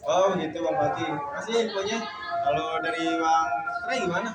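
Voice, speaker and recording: A man's voice: fairly high pitch, fairly quick, reverberant, far from the mic, heavy background noise. A young man chatting as a podcast guest, asking friendly questions. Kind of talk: casual chat.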